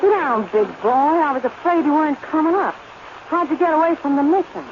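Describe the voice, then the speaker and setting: A woman's voice: sits high, a little fast, close to an everyday voice. A woman playing a tough, flirtatious saloon singer with slangy, wisecracking drawl in a 1930s radio comedy. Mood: tough, unimpressed. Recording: muffled, noisy 1930s radio recording.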